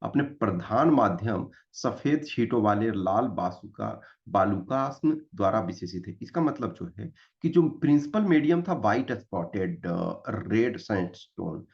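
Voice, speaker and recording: A man's voice: lowish voice, animated, a little slow, gravelly, few pauses, dull audio. A man with an Indian accent giving an explanatory exam-prep lecture, mixing Hindi and English and repeating key points didactically. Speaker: exam-prep history teacher.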